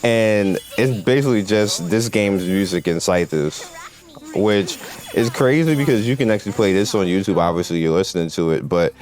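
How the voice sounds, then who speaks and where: A man's voice: lowish voice, moderate pace, a little mellow. A man giving casual, rambling video game commentary in a conversational way. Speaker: gaming video host. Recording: game music playing behind the voice. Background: video game music.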